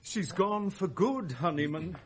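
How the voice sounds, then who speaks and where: An actor playing a forlorn, ineffectual son-in-law in a radio drama, bewildered and hesitant. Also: male voice, high-pitched, expressive, measured pace.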